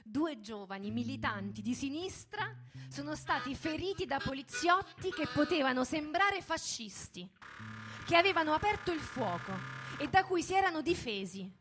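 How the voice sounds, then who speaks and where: A woman giving a dramatic scripted reading as in radio theatre, in measured phrases with deliberate pauses. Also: high voice, animated. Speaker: narrator, theatre performer. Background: music.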